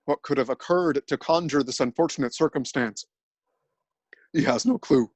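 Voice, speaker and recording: A man narrating a scripted dramatic reading, telling absurd events in an earnest, deadpan way. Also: mid-range voice, moderate pace, no room sound.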